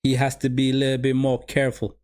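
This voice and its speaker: A man's voice: mid-range voice, monotone, quick pace, darkish tone. A man whose first language is Somali, running a live football watch-along and calling the game for his viewers, often repeating his phrases. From Sweden.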